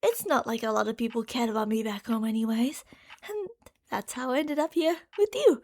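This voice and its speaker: A very high-pitched, lovesick yandere girlfriend played by a woman speaks straight to her darling in an ASMR roleplay. She is a delusional genius scientist, gushing and possessive, moving between hushed confiding and excited devotion. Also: brisk. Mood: secretive, determined. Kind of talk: ASMR roleplay monologue addressed to the listener.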